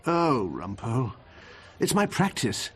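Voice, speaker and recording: An actor playing a glum, fussy, snobbish English barrister in a radio drama, plaintive and prim. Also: male voice, mid-range voice, big pitch swings, measured pace.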